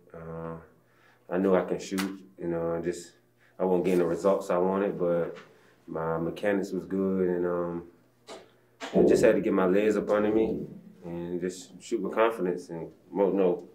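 A man's voice: low voice, mellow. A young man, a basketball player, answering post-game questions in a laid-back, casual way with many fillers. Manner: laid-back, casual, lots of "you know". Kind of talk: post-game press conference. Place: press room backdrop, indoors.

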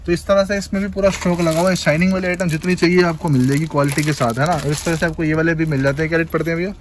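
Fast-talking male market vlogger. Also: on the high side, fairly even intonation, slight background noise. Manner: rapid, repetitive price-echoing patter. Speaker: vlogger host. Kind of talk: market tour vlog. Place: busy wholesale bag shop, indoors.

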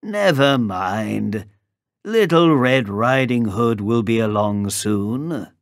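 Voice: medium pitch, expressive, slow pace, no room sound, clean studio sound. A storyteller acting out a sly, growling, greedy wolf. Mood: hungry, grumbling. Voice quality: growling, snarling. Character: big bad wolf, sly, greedy, menacing male beast.

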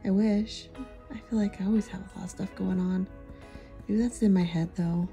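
Mellow, gravelly-voiced woman chatting casually. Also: mid-range voice, normal speed, faint music behind. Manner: chatty and relaxed, easily amused. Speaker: thrift shopping vlogger. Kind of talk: casual voice-over narration.

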